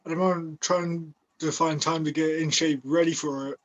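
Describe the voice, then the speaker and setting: A man's voice: fairly high pitch, steady tone, moderate pace, muffled recording. A young man of about twenty talks over a video call through a laptop mic. His voice sits a little above the usual male range. He speaks in short, plain statements. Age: 20s. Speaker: guest wrestler. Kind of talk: casual video-call chat.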